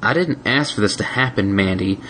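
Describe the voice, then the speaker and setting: Haunted ex-brute pony stallion, read by a man, halting and stammering, faint hiss. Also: lowish voice, expressive, fairly bright tone. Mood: defiant. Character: tormented male pony, ashamed former brute.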